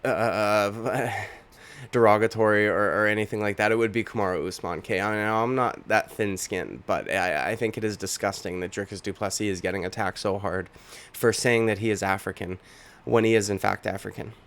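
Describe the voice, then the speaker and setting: A man with a slightly low voice delivers an opinionated reaction commentary on MMA, indignant and repeating himself as he rants. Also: moderate pace, fairly bright tone. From Canada.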